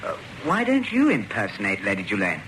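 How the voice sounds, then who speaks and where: An actor playing a naive, bumbling British sidekick in an old-fashioned radio play, stammering, mild and apologetic. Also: male voice, lowish voice, huge pitch swings, fairly quick, a bit bright, slight background noise. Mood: hesitant, persuading. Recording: radio recording with music behind.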